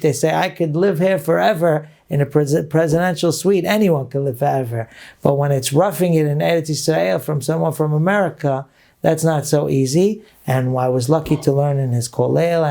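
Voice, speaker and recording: Gravelly, mellow-voiced rabbi from Brooklyn. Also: male voice, on the high side. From Brooklyn, New York. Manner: earnest, reminiscing, with Hebrew phrases. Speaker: rabbi, guest speaker. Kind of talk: storytelling interview talk.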